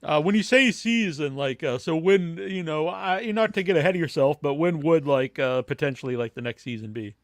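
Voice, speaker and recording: Male interviewer, higher register, casual halting delivery, dry recording. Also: animated, dry recording. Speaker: podcast host. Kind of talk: casual interview, reading listener questions.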